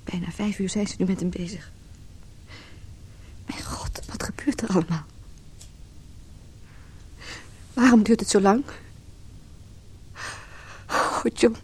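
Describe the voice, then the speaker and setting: A lovelorn businesswoman played by an actress in a radio drama, with a medium-pitched female voice. She is anxious and emotional, a mistress who confides her thoughts as much as she speaks them. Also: measured pace, pauses often, darkish tone, a little hiss or hum, lightly acted. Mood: frightened, hushed. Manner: emotional, inner monologue and tense dialogue. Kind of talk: Dutch radio play acting.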